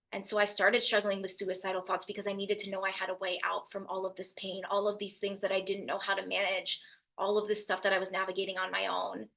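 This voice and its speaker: A young woman speaking as a youth mental health speaker, telling her personal story to an audience in an earnest, steady way. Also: medium pitch, creaky. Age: young adult. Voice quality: loud voice.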